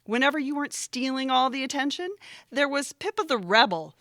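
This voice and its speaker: Woman acting a resentful, highly animated sister. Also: a little fast, no room sound, clean studio sound, heavily acted. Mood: bitter, triumphant. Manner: sarcastic, aggrieved. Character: resentful, competitive, overdramatic grown sister. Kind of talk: audio theatre family comedy-drama acting.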